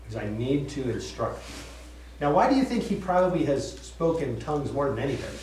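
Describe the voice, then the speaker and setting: A man's voice: medium pitch, big pitch swings, brisk, darkish tone, far from the mic, a little hiss or hum. Chatty man teaching in an echoey room. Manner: chatty, laughs often, repeats and restarts. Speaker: Bible study teacher. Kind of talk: casual Bible study teaching. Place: room with group listening.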